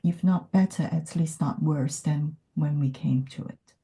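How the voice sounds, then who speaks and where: A woman from Hong Kong, whose first language is not English, speaking in a thoughtful podcast conversation with many pauses and fillers. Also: deep voice, creaky, warm tone. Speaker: podcast guest. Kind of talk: reflective conversation.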